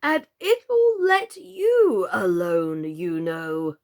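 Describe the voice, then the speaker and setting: A woman voicing a very old male frog with a deep, hoarse, slow drawl and muddled grammar. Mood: panting. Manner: ungrammatical, muttering.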